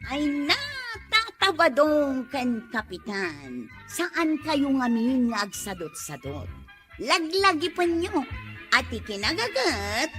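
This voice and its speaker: A scolding Ilocano village wife, played by a woman, high and forceful. She draws out her exasperated lines slowly with big swings, in comedy drama style. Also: very animated, faint music behind, exaggerated voice.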